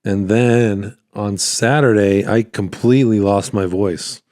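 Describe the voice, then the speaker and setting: A man with a deep, low voice talks casually as a solo podcast host. His voice is somewhat hoarse from a recent illness and falters at times. He rambles in a halting way, repeating phrases, and the sound is dry with very little room echo. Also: steady tone, mellow. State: hoarse, voice cutting in and out after illness. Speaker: podcast host, small business owner.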